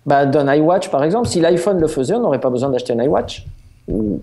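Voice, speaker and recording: A man on a tech panel explaining his view in a measured, matter-of-fact way. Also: on the high side, big pitch swings, warm tone. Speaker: panel guest. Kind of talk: casual panel discussion.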